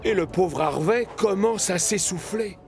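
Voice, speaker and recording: A man narrating a children's cartoon in French as an expressive, scripted storyteller. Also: high-pitched, a little slow, gravelly, music in the background.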